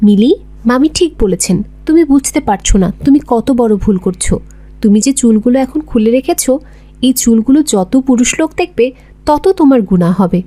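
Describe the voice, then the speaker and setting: A woman's voice: on the high side, lively intonation, brisk, dark tone. A woman voicing a devout, patient orphan schoolgirl in a moral cartoon, earnest and preachy. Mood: admonishing. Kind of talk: Bengali moral cartoon voice acting.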